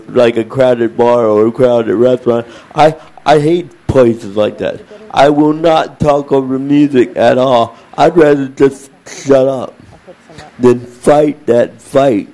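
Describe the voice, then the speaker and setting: Booming man facilitating a group talk, slow and mellow, repeats words as he goes. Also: steady tone, low-quality recording. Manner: repeats words and restarts phrases. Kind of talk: group discussion.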